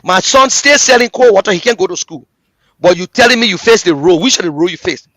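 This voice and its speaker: A man with a Liberian English accent speaks in a high, ringing voice. He drives his political points home with repetition and direct questions to his listeners. The sound is close and dry, with no room echo. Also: lively intonation, fast, fairly bright tone. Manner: impassioned, repetitive, rhetorical address to listeners. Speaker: talk show host. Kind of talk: political commentary.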